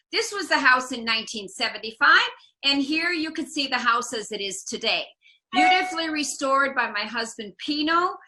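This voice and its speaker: Woman in her seventies, high voice, very bright tone, from the US Midwest, storytelling tour guide on a video call. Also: rough recording. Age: 70s. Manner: warm storyteller, drops in Italian words with relish. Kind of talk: illustrated talk over slides.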